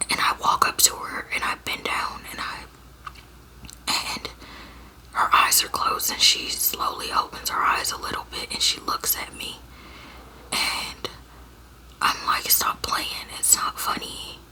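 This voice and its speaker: Hushed whispering woman telling a story. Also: low-quality recording. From Georgia, USA. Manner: hushed whisper, halting with fillers and restarts. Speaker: storyteller vlogger.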